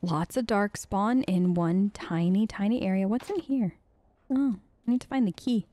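A woman's voice: medium pitch, normal speed, dark tone, dry recording. A woman doing casual, improvised role-play commentary as a let's play host, conversational, hesitant and often questioning.

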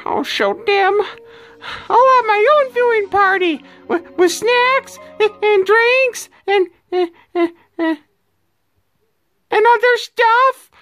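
A performer voicing a clingy, sulky cartoon dog with a squeaky voice and a whiny, stammering manner. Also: lively intonation, takes it slowly, a bit bright, soft background music, full character voice. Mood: indignant, sulky.

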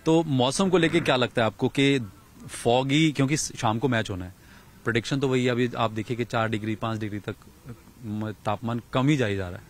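A man's voice: mid-range voice, brisk, slight background noise. A man, reporting and interviewing as a sports journalist outdoors into a handheld microphone. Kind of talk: on-location news reporting and interviewing. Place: outdoors in a large empty cricket stadium.

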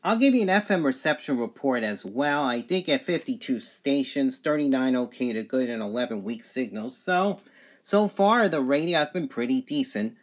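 A man's voice: medium pitch, expressive, normal speed. A man giving a casual, plain-spoken product review in short, simple statements. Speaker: gadget reviewer.

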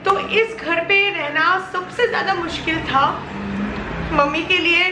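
A young woman with a high voice talks chattily into a close handheld camera, getting emotional as she shows her family's old flat. Her vlog sounds casual and personal, with a faint hum of the room behind her. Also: a little slow. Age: young adult. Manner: chatty, emotional, mixes in English phrases. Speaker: YouTube vlogger. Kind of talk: casual home tour vlog. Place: inside an old flat, small bare rooms.

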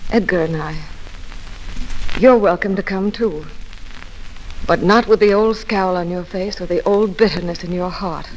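An actress playing a proud, troubled young wife with tense restraint, in a 1940s-style radio drama. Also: female voice, fairly low pitch, a little slow, pauses often, a little mellow, a little hiss or hum, lightly acted, poor audio. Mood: restrained, uneasy.

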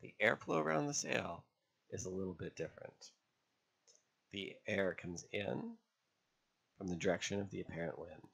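Deep, gravelly man explaining step by step. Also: fairly bright tone. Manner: measured, step-by-step explaining with pauses. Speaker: sailing instructor. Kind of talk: instructional narration over drawn diagrams.

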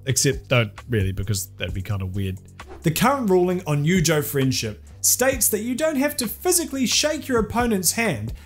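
A young man delivers scripted commentary with sarcastic, crude humour. His voice sits high and swings widely in pitch, lively throughout. Faint background music plays under his studio-recorded voice. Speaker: video essay host.

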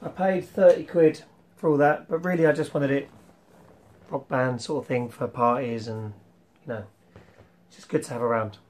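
A young man hosting a casual retro collection vlog, rambling with ums and clearing his throat, his voice slightly worn from losing it. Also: medium pitch, steady tone, normal speed, darkish tone, a little hiss or hum. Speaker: retro game collector vlogger. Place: small room full of toy shelves, indoors.

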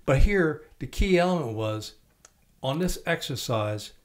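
Brief: older male host, steady affirming interviewer, studio mic. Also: on the high side, a little slow. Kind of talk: interview questions. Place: small podcast studio. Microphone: studio mic on stand, headphones.

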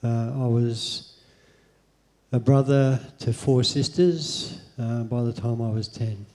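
A middle-aged Australian man speaking on stage into a handheld mic, halting with many ums as he answers interview questions. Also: mid-range voice, fairly even intonation, darkish tone. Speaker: guest speaker. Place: convention hall stage.

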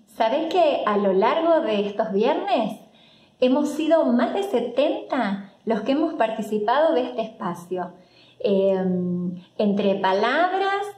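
A woman with an Argentine accent hosting to camera, pausing between phrases, recorded in an echoey living room. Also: on the high side, darkish tone. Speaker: host. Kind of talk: presenting to camera.

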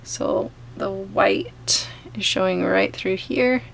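A woman art teacher explains each brushstroke in a gentle, unhurried way as she paints. Her mid-height voice has a gravelly, fry-tinged edge, with a faint hiss of room noise underneath. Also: medium pitch. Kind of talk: step-by-step painting tutorial. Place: home studio, overhead camera on painting table.

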